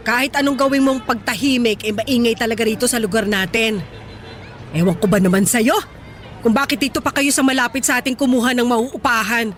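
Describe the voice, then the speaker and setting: Woman doing a naggy filipino mom for radio drama, really bright high voice, always scolding. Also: fairly quick, soft background music, a faint crowd, natural voice. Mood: nagging, doubtful. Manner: sharp, nagging, exclamatory. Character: scolding, nagging middle-aged working-class Filipino mother.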